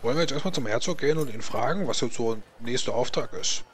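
Fast-talking man with voices behind. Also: mid-range voice, lively intonation, a crowd in the background. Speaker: tabletop role-playing player. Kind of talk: casual in-character chat.

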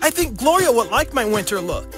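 A cheerful young prince from a mobile game, acted by a woman, pitched high and swinging eagerly up and down. The lines come as short, polite exclamations. Music plays prominently underneath. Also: big pitch swings, brisk. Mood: expressive. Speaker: game character voice. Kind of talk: scripted mobile game prompts.

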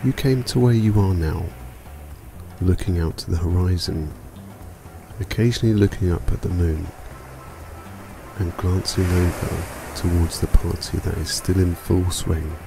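Deep, creaky-voiced man guiding meditation. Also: low-quality recording. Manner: calm, soothing, unhurried delivery. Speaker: meditation guide. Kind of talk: scripted guided meditation sleep story. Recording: music bed behind voice. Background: music.

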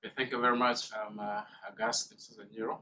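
A young man from northern Uganda, presenting in a seminar room and pausing often with uh. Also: medium pitch, big pitch swings, pushed and bright. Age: young adult. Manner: measured, with many uh pauses. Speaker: guest speaker, student.

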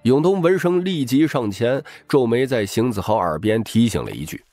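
A man's voice: mid-range voice, expressive, normal speed, a little mellow, faint music behind. A man narrating a novel as a dramatic scripted audiobook.

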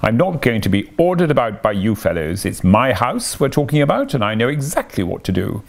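A man voicing a boastful, excitable, theatrical toad in an animal storybook. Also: mid-range voice, normal speed, vocal fry. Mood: defiant. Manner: theatrical bragging, self-pitying wailing. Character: boastful, excitable, easily weeping male toad.

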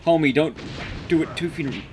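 A man's voice: fairly high pitch, fairly quick, music in the background. A man giving casual live commentary as he plays a game, swearing and muttering asides to himself. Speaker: streamer, speedrunner. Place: indoor room, streaming setup.

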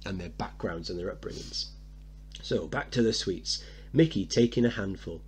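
A man's voice: low voice, normal speed, slight background noise. A man teaching, reading out a play's stage directions and explaining them as he goes. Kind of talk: dramatic reading with analysis.

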